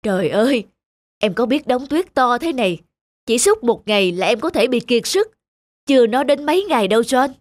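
A woman voicing a kindly, concerned male schoolteacher in a children's cartoon dub, speaking gently and earnestly. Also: a little high, very animated, moderate pace, no echo. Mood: worried.

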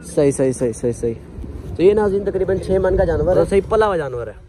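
A man's voice: high voice, animated, brisk, mellow, slight background noise. A man interviewing a cattle seller outdoors at a market, repeating the prices for his viewers. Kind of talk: on-location interview.

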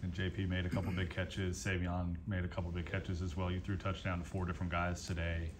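A man's voice: sits low, flat delivery, fast, slight background noise. A man asking direct questions as a reporter at a press conference.

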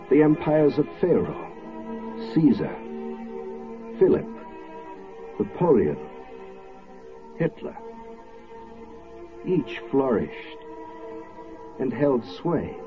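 An actor playing a grave, oratorical rabbi, solemn and deliberate in a 1940s radio drama. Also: male voice, lowish voice, heavily acted. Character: grave, oratorical rabbi preaching on fallen tyrants. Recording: tinny, band-limited 1940s radio recording. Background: music.

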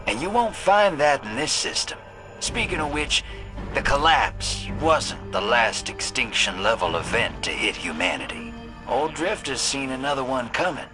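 Sly cocky smuggler gunslinger, drawly teasing game voice, bouncy pitch, music under it. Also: male voice. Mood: earnest. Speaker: game character. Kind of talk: video game cutscene dialogue.

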